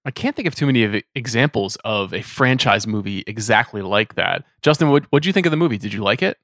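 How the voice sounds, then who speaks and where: A man's voice: fairly low pitch, animated, fast, fairly bright tone, no room sound, clean studio sound. A man hosting a podcast in a casual, conversational style, talking things over with guests. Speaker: podcast host.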